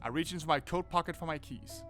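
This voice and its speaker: A man's voice: fairly high pitch, fast, soft background music. A young man hosting a let's play, reading the game text aloud and reacting with swearing and laughter. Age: young adult. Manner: animated, swears and laughs often. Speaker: gaming channel host.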